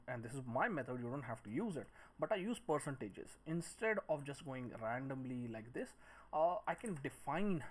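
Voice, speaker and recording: Male software tutor, mid-pitched and animated in intonation, talking through steps in short phrases. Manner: speaks in short phrases while working. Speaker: 3D modelling tutor. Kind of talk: step-by-step screen-recorded software tutorial, thinking aloud.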